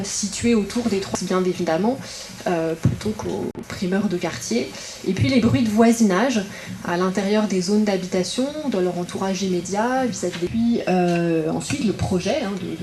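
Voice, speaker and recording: A woman speaking as an invited regional councillor at a public political meeting, in halting phrases with many filled pauses. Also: medium pitch, darkish tone. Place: indoor public meeting room. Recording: roomy echo, audible background noise.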